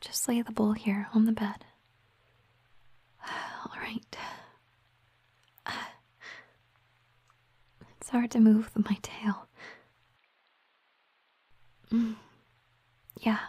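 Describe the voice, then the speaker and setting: ASMR roleplay: wistful, devoted siren girlfriend, female performer, hushed breathy whisper, unhurried and mellow. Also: a little high, little pitch movement, no echo, exaggerated voice. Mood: gentle. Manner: slow, hushed, tender, hesitant.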